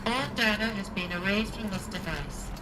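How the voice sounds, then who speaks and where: A woman voicing a calm, impersonal computer system in a video game, making formal announcements. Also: medium pitch, normal speed, background noise, close to an everyday voice. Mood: impassive.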